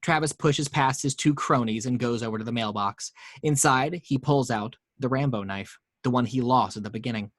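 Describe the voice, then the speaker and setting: A man's voice: medium pitch, normal speed, no room sound, studio quality. Man reading screenplay action lines.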